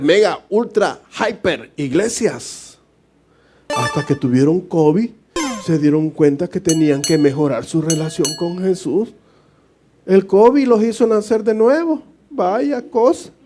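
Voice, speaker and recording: Mellow, higher-pitched Salvadoran pastor preaching informally. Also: male voice, slightly rough. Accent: Salvadoran Spanish. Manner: colloquial and joking, often chuckles and acts out short dialogues. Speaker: pastor giving a Bible study. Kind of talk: informal, conversational sermon to a congregation.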